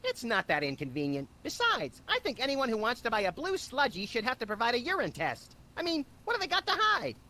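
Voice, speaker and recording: An actor playing a manic, gleefully destructive rabbit in a video game, tossing off sardonic quips. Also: male voice, very high voice, wildly swooping pitch, fairly quick, hardly any pauses, sharp tone, full character voice.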